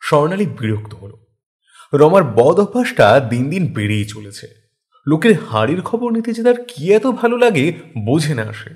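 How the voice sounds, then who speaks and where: A man's voice: on the high side, big pitch swings, normal speed. A man giving a scripted narration of a story. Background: background music.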